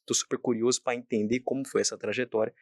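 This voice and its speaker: A man's voice: medium pitch, little pitch movement, fast, no echo, studio quality. A man hosting an interview podcast, enthusiastic and effusive as he introduces his guest. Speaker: podcast host.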